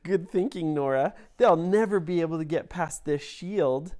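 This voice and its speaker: A woman voicing a brave young boy adventurer in a made-up bedtime story, speaking in a bright, encouraging way. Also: low voice, normal speed, lightly acted.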